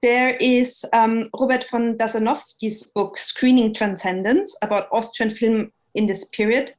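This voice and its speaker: A woman presenting an academic seminar lecture, speaking in a measured way with frequent pauses and hesitations. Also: fairly high pitch, fairly even intonation.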